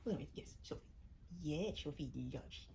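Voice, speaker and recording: Woman storyteller, lively intonation, slightly low pitch, cozy personal delivery, self-conscious chatty manner, faint background noise. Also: a little fast. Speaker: storyteller, host reading own short stories. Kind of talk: cozy roleplay chat to listener, then story read-aloud.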